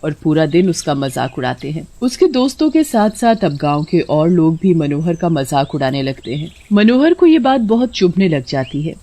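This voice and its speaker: A woman's voice: low-pitched, very animated, moderate pace, hardly any pauses, mellow. A woman narrating a scripted moral bedtime story as a storyteller.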